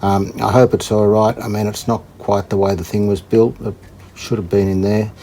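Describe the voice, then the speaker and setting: A man giving casual hands-on commentary as a hobby restorer, thinking aloud in a tentative, halting way. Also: on the low side, level tone, warm tone. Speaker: host, hobbyist radio restorer. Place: indoors, hobby workshop. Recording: clear background noise.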